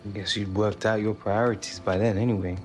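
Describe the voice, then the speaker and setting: An actor playing a guarded young star footballer in a video game, speaking in an offhand, clipped way. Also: male voice, fairly low pitch, little pitch movement, a little fast, a little mellow, faint music behind, lightly acted. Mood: bitter. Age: young adult. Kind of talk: naturalistic video game acting.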